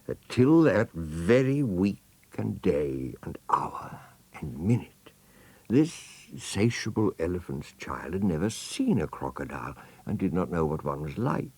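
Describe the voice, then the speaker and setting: A man with a low voice narrates a children's tale as an audiobook. His intonation rises and falls widely, relishing each phrase as he speaks straight to the listener. The sound is dry, without room echo. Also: big pitch swings, unhurried, a little mellow, breathy edge. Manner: theatrical, savouring words, addressing the listener. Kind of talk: expressive children's storybook narration.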